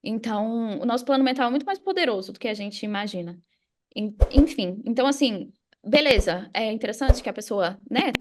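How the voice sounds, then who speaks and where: Higher-pitched Brazilian woman coaching. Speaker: mindset coach and podcast guest. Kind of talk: conversational explaining.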